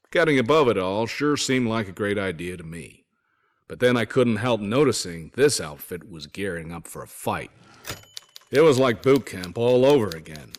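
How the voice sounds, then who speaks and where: A man acts out a weary male survivor and former soldier from a video game, telling his story as letters. His voice sits on the low side. He talks in a folksy, wry, grumbling way, like a storyteller resigned to bad luck. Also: lightly acted. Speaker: voice actor reading journal notes. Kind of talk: dramatic first-person letter narration.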